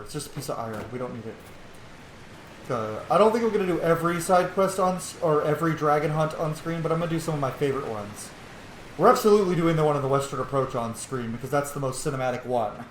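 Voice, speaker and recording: Male gameplay streamer, loose and rambling, swears freely, roomy sound with some noise behind. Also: on the high side. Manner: rambling, profane. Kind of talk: casual gameplay commentary.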